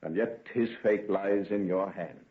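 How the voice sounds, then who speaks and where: An actor playing a tormented, brooding gentleman scientist in an intense, melodramatic golden age radio drama style. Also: on the low side, unhurried, natural voice. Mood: dark, guarded. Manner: intense, melodramatic, grandiose phrasing. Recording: tinny band-limited vintage radio recording.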